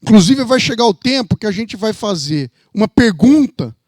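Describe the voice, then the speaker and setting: A man's voice: high-pitched, very animated, normal speed, a little mellow, dry recording, studio quality. A man in his fifties with a São Paulo Brazilian accent, an academic giving an informal welcome presentation in a digressive, explanatory way. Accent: São Paulo state Brazilian. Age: 50s. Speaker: economist and university representative.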